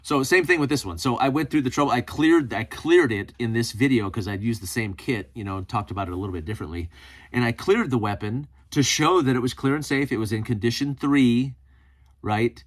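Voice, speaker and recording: A man's voice: mid-range voice, a little fast. A man hosting a casual solo vlog, talking informally with self-deprecating humor as he reads and answers comments. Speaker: host of a preparedness video channel.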